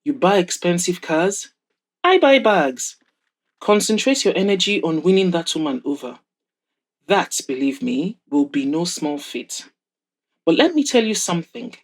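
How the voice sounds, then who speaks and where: A woman's voice: on the low side, big pitch swings, unhurried. A woman voicing a proud, wry, wealthy older mother of a grown son in an audio drama, teasing and frank as she advises. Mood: amused, warning.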